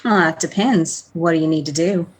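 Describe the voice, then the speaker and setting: A woman's voice: on the low side, moderate pace, slightly rough. An actress playing a wry, savvy female prison guard, bantering dryly in a radio play. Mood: wary, bantering. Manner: dry, bantering.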